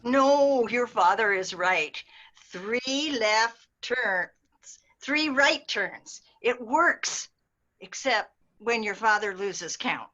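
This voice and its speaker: A woman playing a calm, wry elderly mother. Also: a little high, extremely slow, full character voice, rough recording. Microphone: video call.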